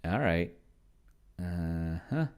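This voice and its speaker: A man hosting a solo tabletop roleplaying session, thinking aloud casually in a halting, musing way and often trailing off mid-thought. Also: deep voice, animated, darkish tone, smooth.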